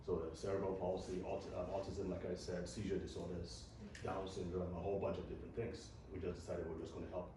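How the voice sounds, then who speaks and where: A man from Nigeria, a doctor giving a live talk to an audience, speaking in a relaxed way, often repeating words and chuckling. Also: low-pitched, fast, hardly any pauses. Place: large echoey hall. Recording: echoey hall with distant mic and noise.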